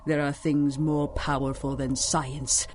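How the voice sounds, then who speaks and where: A woman's voice: low voice, level tone, slow, a little mellow, a little hiss or hum. An actress playing a genteel but sinister middle-aged woman chocolatier in a radio drama, purring and condescending. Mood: silkily menacing. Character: sinister older woman chocolatier, silky, menacing. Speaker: chocolate shop owner. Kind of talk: 1930s-style radio drama acting.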